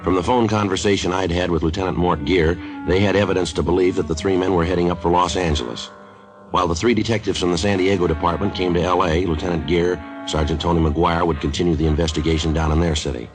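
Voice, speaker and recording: An actor playing a terse, matter-of-fact American police detective who also narrates a 1950s radio drama. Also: male voice, sits low, steady tone, fast, close to an everyday voice. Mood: matter-of-fact narration. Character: male Los Angeles police detective, terse and businesslike. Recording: old radio recording with music.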